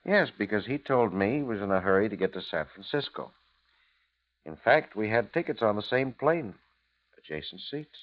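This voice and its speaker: Actor as a sly American blackmailer, lively pitch. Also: male voice, normal speed, lightly acted. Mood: probing. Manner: drawling hesitations, knowing, insinuating. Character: sly, insinuating male blackmailer, coolly needling. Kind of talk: 1950s radio drama acting. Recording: dull, lo-fi 1950s radio recording.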